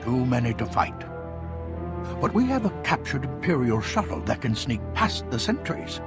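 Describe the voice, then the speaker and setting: A man playing an elderly, theatrical, wise Jedi master in a video game, with dramatic pauses and drawn-out mentor phrasing. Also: medium pitch, very animated, over music, natural voice. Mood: grave, explanatory.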